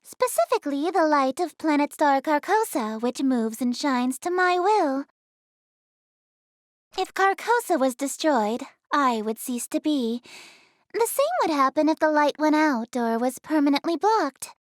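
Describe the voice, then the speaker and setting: A woman's voice: high voice, sing-song, unhurried, dry recording. A woman voicing a haughty, easily flustered eldritch goddess in an anime-style game, speaking in a theatrical, formal, imperious way. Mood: regal, composed. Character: haughty, easily flustered regal eldritch goddess, cute young-sounding female. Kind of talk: anime-style visual novel game voice acting.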